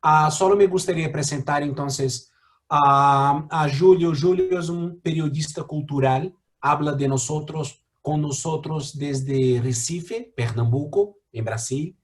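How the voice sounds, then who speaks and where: Brazilian man hosting in halting Spanish. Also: a little high. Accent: Brazilian Portuguese. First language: Portuguese. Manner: halting, many fillers and restarts. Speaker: mediator. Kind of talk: introducing a guest speaker.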